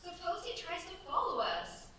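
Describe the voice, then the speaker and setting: A woman's voice: extremely high pitch, huge pitch swings, normal speed, bright, forceful tone, full character voice. A woman playing an anxious, proper teenage girl in a staged radio play, theatrical and full of shocked exclamation. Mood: scandalized. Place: theatre stage before an audience. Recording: echoey stage, distant mic, clear hiss.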